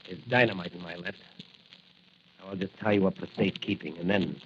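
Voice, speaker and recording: An actor playing a confident, jovial young masked crimefighter in 1940s radio style. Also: male voice, low-pitched, fairly even intonation, brisk, lightly acted. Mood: commanding, taunting. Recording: muffled old radio recording, faint noise.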